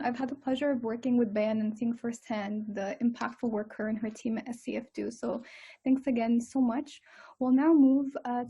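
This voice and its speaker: Husky, creaky female moderator. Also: a little high, dark tone, dull audio. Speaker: moderator of a panel discussion. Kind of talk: semi-scripted moderating.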